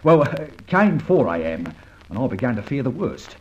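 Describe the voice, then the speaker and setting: A muffled old radio recording carries a man acting a Cockney ex-burglar sidekick. His talk rambles and stumbles deferentially through homely slang, swinging up and down in pitch. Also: sing-song, moderate pace, darkish tone, a little hiss or hum, close to an everyday voice. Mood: hesitant, eager. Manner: rambling, hesitant, colloquial storytelling.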